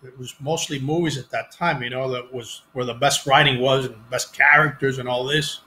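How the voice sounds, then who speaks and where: Bright-voiced man with a Cuban accent. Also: medium pitch, normal speed, slight background noise, low-quality recording. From Cuban family. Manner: animated, acts out quoted voices, restarts sentences. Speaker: actor, interview guest. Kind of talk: casual storytelling interview. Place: home room, video call. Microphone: headset.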